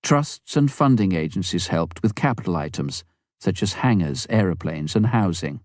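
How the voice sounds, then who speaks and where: Lively low-pitched man narrating a book. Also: normal speed, dry recording, clean studio sound. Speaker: audiobook narrator. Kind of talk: scripted narration, reading a memoir aloud.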